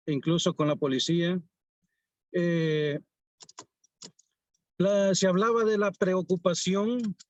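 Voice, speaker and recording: A man speaking in a workshop discussion, talking slowly and deliberately with frequent pauses. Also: on the high side, darkish tone, dry recording. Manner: slow, deliberate, pausing often. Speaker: community organizer.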